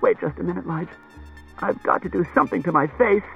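A man voicing a frightened, tearful wife, breathy and quavering, pleading in halting bursts as in an audiobook. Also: high voice, lo-fi sound, faint music behind, voice pushed up, full character voice. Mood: fretful, pleading. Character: distraught tearful woman, frightened wife confessing.

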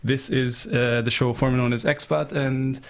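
A man hosting a morning radio interview, asking questions in a halting way with many fillers and restarts. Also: mid-range voice, little pitch movement. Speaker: radio host.

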